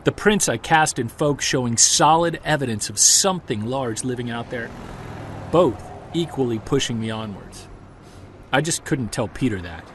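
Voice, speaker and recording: A male actor as a determined amateur investigator in an audio drama, with a mid-pitched voice. He talks plainly and earnestly, like someone keeping a spoken journal, over faint vehicle-like background noise. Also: animated, normal speed, a bit bright, natural voice. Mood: troubled, resolute. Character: determined, stubborn male amateur investigator chasing a creature mystery. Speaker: investigator and narrator.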